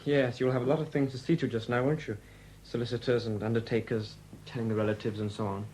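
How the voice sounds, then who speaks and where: An actor plays a courteous, rather awkward police sergeant in a 1970s radio play. His voice is medium in pitch and a little hesitant, over the faint noise of an old recording. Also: steady tone, quick pace, darkish tone, natural voice, low-quality recording. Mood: polite, probing. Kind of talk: 1970s BBC radio drama acting.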